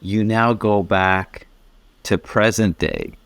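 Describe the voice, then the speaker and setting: A man's voice: fairly low pitch, fairly even intonation, slow pace, fairly bright tone, clear, resonant tone, no room sound. A man hosting a podcast, asking earnest, encouraging interview questions with frequent affirmations. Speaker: podcast host.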